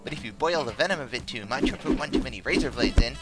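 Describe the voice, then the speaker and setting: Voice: mid-range voice, animated, quick pace, close to an everyday voice. A voice actor playing a cheerful, unhinged female pony in a cartoon parody, bubbly and gleefully macabre. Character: cheerful, unhinged female pony who dabbles in demons and poison. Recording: music behind the voice.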